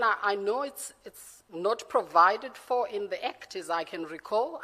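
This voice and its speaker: A woman in her forties from Zimbabwe, answering formal interview questions in a measured, careful way and often correcting herself. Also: fairly low pitch, a bit bright. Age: 40s. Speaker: judicial candidate being interviewed. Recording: reverberant hearing room.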